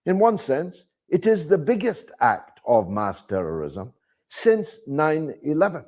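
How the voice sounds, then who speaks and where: A man delivering political commentary as a monologue, phrasing deliberately and emphatically, with rhetorical questions and repetition. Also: a little high, huge pitch swings, a little mellow. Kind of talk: spoken political commentary to an audience.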